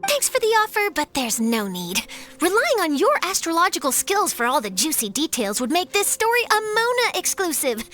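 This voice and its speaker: A woman voicing a perky, confident young female journalist with an eager, glib manner in an animated game. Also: very high-pitched, moderate pace, faint music behind, full character voice.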